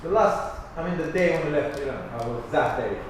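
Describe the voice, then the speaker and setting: A man's voice: fairly high pitch, animated, a little fast. A man asking short, informal questions as an interviewer. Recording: very echoey room, distant, faint noise.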